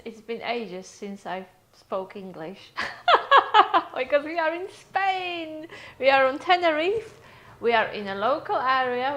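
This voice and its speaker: A woman's voice: high voice, a bit bright. Chatty woman greeting viewers. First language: Polish. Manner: chatty, greets viewers by name, laughs often. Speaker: vlogger hosting a live stream. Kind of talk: casual live-stream chat greeting viewers. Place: holiday rental apartment.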